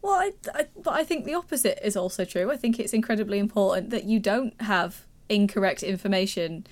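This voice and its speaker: A woman commenting on the news in a studio discussion, measured and explanatory. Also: mid-range voice, animated, a little mellow.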